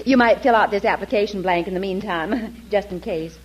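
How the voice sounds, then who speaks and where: Woman acting ditzy chatty office girl, high giggly voice, muffled noisy old radio show. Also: moderate pace, close to an everyday voice. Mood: helpful. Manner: gushing, giggly, rambling. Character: chatty, scatterbrained, flighty young female office clerk. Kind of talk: 1940s American radio comedy acting.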